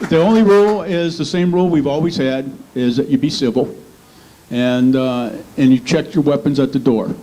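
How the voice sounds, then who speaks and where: An American man moderating a radio panel informally, with a halting delivery. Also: mid-range voice, dark tone, low-quality recording. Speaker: radio show host, moderator. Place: storefront meeting room, live event.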